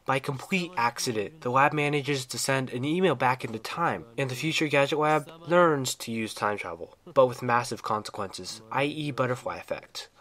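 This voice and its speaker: Male reviewer, scripted narration, mid-pitched voice, enthusiastic, opinionated fan delivery. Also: fairly bright tone. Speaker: video game reviewer.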